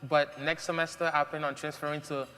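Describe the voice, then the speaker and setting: A man's voice: a little high, level tone, fairly quick, bright, forceful tone. A young man from Haiti speaking earnestly on a panel, with many ums and uhs. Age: young adult. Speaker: mentee. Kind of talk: prepared speech on a panel. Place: large event hall. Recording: long hall reverb.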